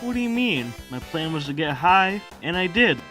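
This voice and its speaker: A man's voice: fairly high pitch, big pitch swings, moderate pace, bright, forceful tone, faint music behind. A man voicing a goofy, boastful stoner echidna in an amateur comic dub, rambling in slang. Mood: carefree, oblivious. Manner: rambling, slangy, says lol aloud.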